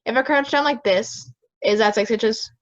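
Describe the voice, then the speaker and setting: A woman's voice: on the high side, normal speed, lightly acted. A woman playing a stubborn, impatient juror, curt and dismissive, in an amateur audio drama recorded lo-fi.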